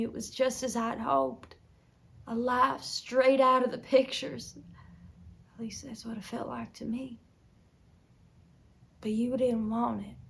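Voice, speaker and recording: A woman's voice: fairly high pitch, vocal fry. A woman acting a desperate, tormented young farm wife in an intense dramatic film monologue. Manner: intense, swinging from whispers to anguished outbursts. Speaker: acting student.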